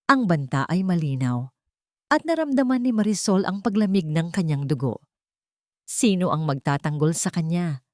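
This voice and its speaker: A woman's voice: on the low side, expressive, normal speed, darkish tone, dry recording, clean studio sound. A woman narrating an audiobook with dramatic, scripted delivery.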